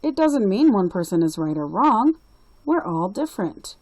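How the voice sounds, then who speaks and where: A woman's voice: medium pitch, big pitch swings, moderate pace, darkish tone, no room sound. Woman reading as a kindly, encouraging storybook grandpa. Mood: reassuring. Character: kindly, wise grandpa reassuring his granddaughter.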